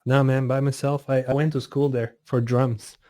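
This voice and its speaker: A man in his twenties from Italy, a music producer chatting casually as a guest, relaxed and often laughing, with many fillers. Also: medium pitch, normal speed, no room sound. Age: 20s. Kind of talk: casual interview over a call.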